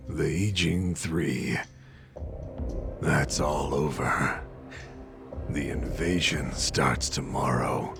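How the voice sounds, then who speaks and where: An actor playing a sadistic, gloating gangster villain in an English video game dub, with a gravelly voice and a sneering delivery. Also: male voice, very deep voice, very slow, pauses often, over music, full character voice. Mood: scornful, boastful.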